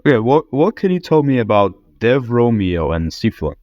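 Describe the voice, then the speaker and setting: A man asking informal interview questions in a hesitant, halting way, often stumbling over his phrasing. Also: medium pitch, big pitch swings, no room sound, muffled recording.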